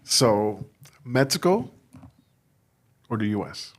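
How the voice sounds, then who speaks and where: A man's voice: on the low side, very animated, slow, husky. A man hosting a podcast, chatting casually with a playful, teasing manner and easy laughter. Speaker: podcast host. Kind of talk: casual interview chat. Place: podcast studio.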